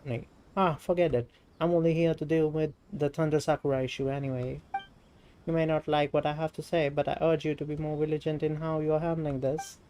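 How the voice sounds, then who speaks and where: An Indian man voicing a haughty, lecturing shrine maiden from a video game, impatient and sharp-tongued. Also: on the high side, little pitch movement, fairly quick, a little hiss or hum, close to an everyday voice. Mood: exasperated, insistent.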